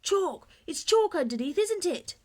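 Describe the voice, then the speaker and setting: A woman voicing an excited, fervent old war veteran husband in a children's storybook, with urgent exclamations and eager questions. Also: very high-pitched, a little slow, voice pushed up, exaggerated voice. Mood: excited, triumphant.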